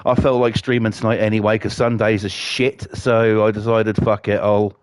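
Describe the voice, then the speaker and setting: A man's voice: lowish voice, flat delivery, moderate pace, smooth, no room sound. A man with a cold, chatting casually and swearing while streaming a game, with lots of fillers. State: has a cold.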